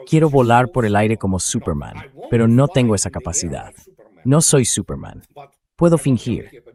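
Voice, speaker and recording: A man's voice: lowish voice, dark tone. A man's synthetic dubbing voice giving blunt, sardonic political and military commentary as an interview guest.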